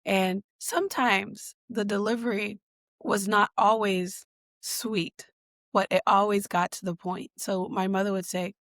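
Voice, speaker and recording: A woman from Boston, a guest in an interview, answering in a conversational way with many pauses, fillers and restarts. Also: medium pitch, little pitch movement, a bit bright, dry recording, studio quality. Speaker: guest, digital equity leader.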